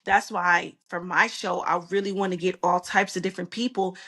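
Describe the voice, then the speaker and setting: A woman's voice: lowish voice, fairly even intonation, normal speed, a bit bright. A woman co-hosting a podcast, upbeat and talkative, recorded remotely. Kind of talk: casual podcast chat.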